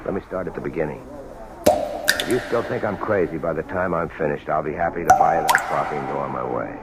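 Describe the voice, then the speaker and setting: A low-voiced, persistent police lieutenant. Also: male voice, heavy background noise. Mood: grave. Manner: patient, low-key persuasion, measured pauses. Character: dogged male police lieutenant, calm and persistent. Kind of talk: 1970s radio drama acting. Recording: old radio drama with music bed.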